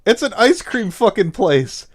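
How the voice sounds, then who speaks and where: A man's voice: high voice, sing-song, measured pace. A man streaming over a voice call, rambling, swearing and laughing often in casual chat. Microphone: Discord voice call. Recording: voice chat audio.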